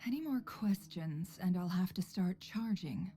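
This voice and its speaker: A composed, aloof female boss in a game, with a very dark, soft-edged voice on the low side. She is dry and measured, over faint music. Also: expressive. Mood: dryly teasing. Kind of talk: video game character acting.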